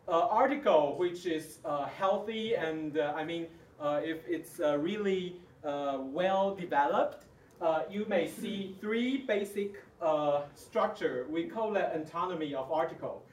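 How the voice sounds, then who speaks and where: A high-voiced man with a Mandarin accent presents at a workshop. He speaks slowly and hesitantly, picked up from across an echoey room. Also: slight background noise. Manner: halting, hesitant delivery. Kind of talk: live demonstration talk to an audience. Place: large conference room, distant camera without microphone. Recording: echoey room, far from the microphone.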